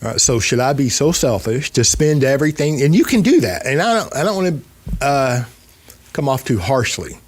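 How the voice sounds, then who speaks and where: A man's voice: mid-range voice, sing-song, moderate pace. A man in his fifties hosting a podcast, talking casually and emphatically, repeating phrases to drive a point home. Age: 50s. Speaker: podcast host.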